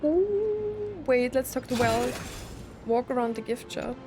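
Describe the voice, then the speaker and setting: A woman's voice: high voice, lively intonation, slow pace. A young adult woman giving casual let's play commentary, chatty and thinking aloud as she goes. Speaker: game streamer. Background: game music.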